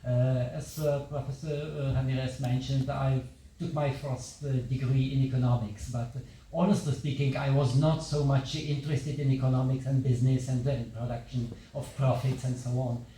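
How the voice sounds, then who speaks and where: A man's voice: mid-range voice, little pitch movement, normal speed, dark tone. Non-native man lecturing in an echoey hall. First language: not English. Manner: hesitant, many fillers and restarts. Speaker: visiting lecturer. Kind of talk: university lecture. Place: large echoing lecture hall. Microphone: handheld mic at some distance. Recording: echoey hall, distant mic, faint room noise.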